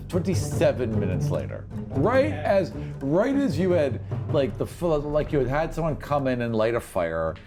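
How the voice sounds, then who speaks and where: A man's voice: a little high, wildly swooping pitch, normal speed, hardly any pauses, music in the background. A man working as game master, narrating a tabletop scene off the cuff in a conversational, descriptive way with rambling asides.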